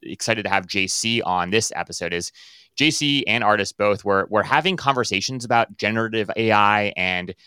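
Man, podcast host, low voice, dry close sound, upbeat, rambling restarts. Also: normal speed, pushed and bright, clean tone, no room sound. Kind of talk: casual podcast interview.

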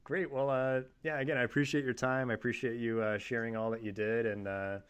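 A man's voice: medium pitch, flat delivery, a little fast, smooth. A man hosting a podcast interview, chatting loosely with lots of fillers and restarts. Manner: filler-heavy, rambling with many "like" and "uh". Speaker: podcast host. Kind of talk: casual interview chat.

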